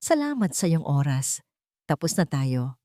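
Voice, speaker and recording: A proud rich man from an audio romance, voiced by a woman storyteller. He speaks calmly and firmly with a sharp edge. The voice is low for a woman and recorded dry. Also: unhurried, no echo. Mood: cold, cutting. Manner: calm with a sharp edge, firm. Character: calm, cutting, proud blind rich man.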